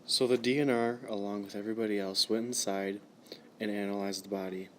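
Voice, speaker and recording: A man's voice: on the low side, level tone, fairly quick, a bit bright. A man reading scripted narration for a suspense radio play. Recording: noisy amateur recording with background ambience.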